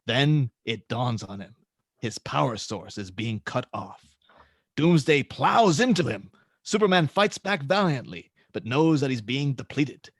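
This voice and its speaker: A man's voice: a little high, dry recording. A man reading out stage directions and scene headings as narrator in a script table read.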